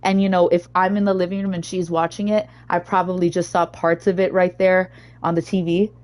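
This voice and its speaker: Low, slightly hoarse young woman. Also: level tone, fairly quick, a little mellow. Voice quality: naturally low, deep voice. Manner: rambling, chatty, easily sidetracked. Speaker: streamer. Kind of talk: casual gaming stream chat.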